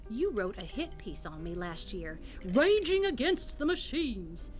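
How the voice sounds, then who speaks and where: A woman's voice: a little high, very animated, unhurried. A woman playing an imperious, pious public figure in an audio drama, with a haughty, clipped delivery. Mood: cold, indignant. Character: pious, imperious, hard-line anti-robot female public figure. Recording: loud music under the voice.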